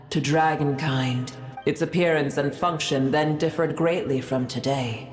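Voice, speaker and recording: A woman's voice: a bass voice, a little mellow. Old proud dragon queen for a game, scornful n grand, echoey voice w music under it. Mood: bitter. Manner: haughty, grandiose, formal archaic speech. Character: ancient proud bitter female dragon sovereign, scornful of gods and humans. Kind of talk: video game voice acting. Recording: echoing, with game music behind.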